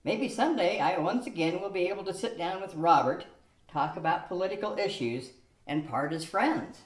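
A man's voice: high voice, normal speed, lightly acted. An older man playing rueful old husband Elmer. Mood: hopeful. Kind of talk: amateur radio-play acting from a script. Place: small studio with a draped backdrop. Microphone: stand mic at chest height. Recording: echoey room.

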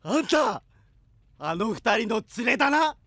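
Indignant, shrill shopkeeper. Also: male voice, sing-song, unhurried, fairly bright tone, dry recording, studio quality, exaggerated voice. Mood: outraged. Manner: scolding, exclaiming. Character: indignant, pushy shopkeeper demanding payment for damage. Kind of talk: Japanese audio drama acting.

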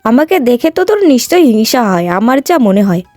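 A haughty young village beauty, played by a woman in a cartoon fairy tale. Her high voice is boastful and petulant, recorded dry with music behind it. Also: big pitch swings, quick pace, a little mellow, no echo. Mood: smug, scornful. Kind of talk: cartoon moral-tale voice acting.

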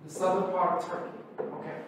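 Halting man hosting in echoey gallery. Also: high-pitched, completely flat delivery, far from the mic, noisy background. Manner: supportive, repeats words, halting. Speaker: playback theater facilitator. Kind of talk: live event hosting. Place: art gallery, live audience. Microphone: far camera mic.

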